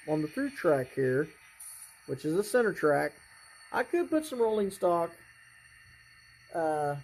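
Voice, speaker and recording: A hobbyist presenter giving a casual, unscripted demonstration in a halting, rambling way, often clearing the throat. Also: medium pitch, warm tone, a little hiss or hum, rough recording. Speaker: hobbyist presenter showing a model train layout.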